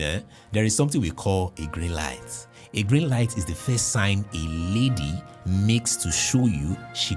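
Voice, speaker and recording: Nigerian guy, super deep voice, animated funny storytelling podcast, lots of ah and never never. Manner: animated, jokey, with exclamations. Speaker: podcast host. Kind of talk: comic personal storytelling.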